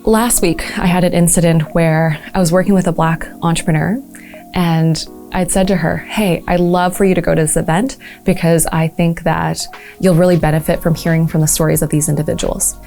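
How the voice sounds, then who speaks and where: A woman in her thirties, originally from Malaysia, talks reflectively and earnestly about supporting entrepreneurs, with frequent ums and uhs. Her voice sits a little low and sounds mellow. Soft music plays faintly beneath her. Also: fairly even intonation, normal speed. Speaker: entrepreneurship program leader. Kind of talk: speaking to camera about her work.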